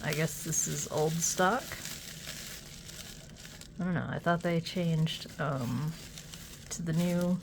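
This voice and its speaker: A woman with a cold, sniffly, doing an unboxing with a halting, hesitant way of talking and many fillers. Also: deep voice, creaky, slight background noise, poor audio. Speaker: unboxing video host. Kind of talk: casual unboxing commentary.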